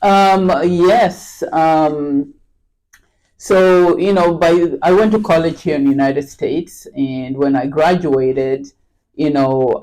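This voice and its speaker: A middle-aged woman from Kenya, a podcast guest talking in an unhurried, casual way, with lots of fillers and restarts. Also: very deep voice, mellow, poor audio. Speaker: podcast guest, real estate agent. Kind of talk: casual interview chat.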